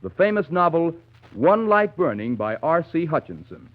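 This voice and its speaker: A man's voice: fairly high pitch, sing-song, normal speed. A man with an American accent reading formal scripted announcements as a 1930s radio announcer. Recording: thin, narrow vintage 1930s radio broadcast.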